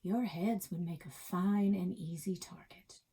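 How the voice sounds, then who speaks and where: A woman's voice: lowish voice, unhurried, warm tone, slightly rough. A woman storyteller voicing a tiny, devout, fearless mother who speaks firmly and defiantly in a read-aloud story. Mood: coolly threatening. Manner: firm, defiant, emphatic.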